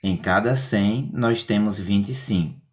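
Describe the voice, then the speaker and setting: A man's voice: lowish voice, measured pace. A man teaching a math lesson in a methodical, step-by-step, didactic way. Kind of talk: instructional video lesson explanation.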